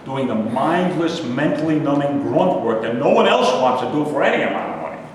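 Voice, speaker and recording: A man's voice: mid-range voice, very animated, brisk, close to an everyday voice. A man in a staged play reading, playing a bitter, contemptuous, self-justifying man who grumbles and declaims theatrically. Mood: bitter, indignant. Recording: distant, very echoey hall, faint noise.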